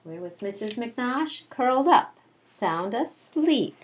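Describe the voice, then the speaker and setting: A woman's voice: on the high side, big pitch swings, slow. A woman kindergarten teacher doing story time for young children, talking to them directly in a conversational way. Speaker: kindergarten teacher reading a picture book aloud. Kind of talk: story time for young children, read-aloud mixed with casual chat.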